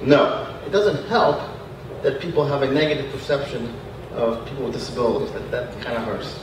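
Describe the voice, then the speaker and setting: A young man who grew up in Montreal with Spanish and French as first languages, telling a personal story to an audience in an animated, self-deprecating way. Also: medium pitch, normal speed, background noise. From Montreal, Canada. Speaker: panel speaker. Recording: echoey hall, distant microphone.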